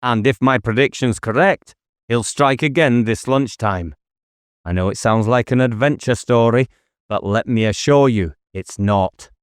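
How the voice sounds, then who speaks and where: A man's voice: on the low side, dry recording, clean studio sound, lightly acted. A man voicing an intense, driven police officer in an audiobook, with an urgent, insistent manner.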